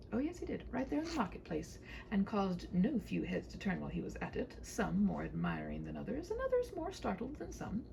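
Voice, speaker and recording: A woman narrates a comic storybook tale with a lively, swooping delivery. Her tone is mellow with some vocal fry, and her phrasing is whimsical and mock-formal. There is faint noise in the home recording. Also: medium pitch, poor audio. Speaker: storyteller reading own short story. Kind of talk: humorous scripted storybook narration with wry asides.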